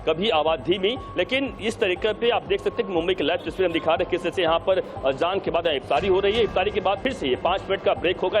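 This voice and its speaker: A man with an Indian accent reporting live from an outdoor city location in a wordy, repetitive running commentary. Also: a little high. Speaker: field news reporter. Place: outdoors on a city street outside a mosque.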